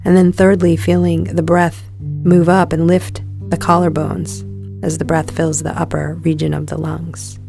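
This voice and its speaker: A woman guiding a meditation in a calm, soothing, measured delivery, letting her phrases end softly. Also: low voice, gravelly, over music. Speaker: meditation guide. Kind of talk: guided meditation narration.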